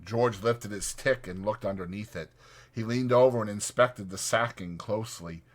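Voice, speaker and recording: A man's voice: on the low side, normal speed. A man reading scripted literary narration as an audiobook narrator.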